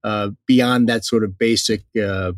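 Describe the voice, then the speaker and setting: Dry-sounding halting male podcast host. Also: fairly low pitch, steady tone, no room sound. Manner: halting, thinks aloud with many fillers. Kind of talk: conversational interview.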